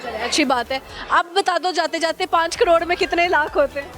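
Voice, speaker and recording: Very high-pitched Pakistani woman interviewer, teasing. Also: brisk. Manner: playful, teasing, echoes answers back as questions. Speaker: street interview host. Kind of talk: casual, bantering vox-pop interview. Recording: music and crowd chatter behind the voice.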